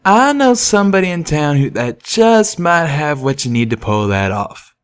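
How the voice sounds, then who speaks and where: A man's voice: fairly high pitch, sing-song, moderate pace, smooth, pitched above the performer's own voice. A man voicing a brash male pony with a folksy, emphatic way of talking for an audiobook. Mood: advising. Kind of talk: audiobook character voice.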